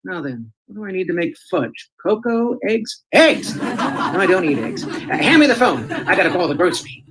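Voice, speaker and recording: A man's voice: high-pitched, big pitch swings, crowd noise, rough recording. A man playing Fibber McGee, a blustering, wisecracking, folksy husband in an old-time radio comedy. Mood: eager, blustering. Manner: folksy, fast-talking, exclamatory, theatrical.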